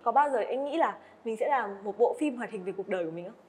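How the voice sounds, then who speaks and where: A woman hosting a podcast interview, asking brisk, probing questions and often saying short "ừ" while the guest speaks. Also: mid-range voice, animated, darkish tone, a little hiss or hum. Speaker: podcast host and teacher. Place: indoor studio set.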